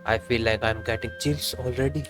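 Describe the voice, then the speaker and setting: A man's voice: medium pitch, steady tone, a little fast, a bit bright. A man live-streaming a game, commenting casually and reading dialogue aloud as he plays. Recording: game music behind the voice. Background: game music.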